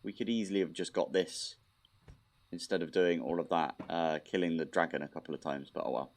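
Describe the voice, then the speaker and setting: A man's voice: low-pitched, a little fast. A man casually commentating his modded block-building game, thinking aloud with mild exasperation, his nose slightly blocked. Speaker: modded Minecraft let's play host.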